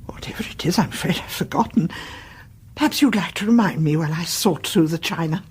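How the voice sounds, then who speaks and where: An actress playing a frail, kindly elderly neighbour in a radio play, genteel and hesitant, with a husky, breathy voice. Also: female voice, lowish voice, brisk, in a whisper. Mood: mild, puzzled. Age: older.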